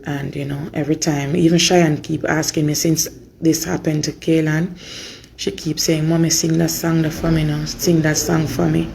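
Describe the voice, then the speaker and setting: A woman with a Jamaican accent and a hoarse voice, giving an informal, earnest update to supporters and repeating phrases for emphasis. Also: sits low, little pitch movement, moderate pace, darkish tone. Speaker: mother giving an update on her daughter.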